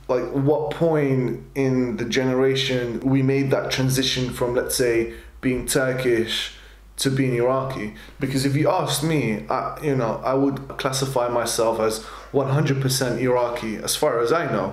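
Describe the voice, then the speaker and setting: A man in his twenties chatting casually and excitedly to camera, often chuckling as he talks. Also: mid-range voice. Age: 20s. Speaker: participant in a DNA test video. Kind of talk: casual reaction talk to camera. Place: small bare room, indoors, at a desk. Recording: roomy echo, faint background music.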